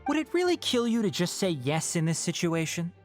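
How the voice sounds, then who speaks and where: A man's voice: high voice, sing-song, a little fast, soft background music. An actor playing a dry, bookish young male scholar in a video game, articulate and wry, with a proud, exasperated streak. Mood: wryly exasperated.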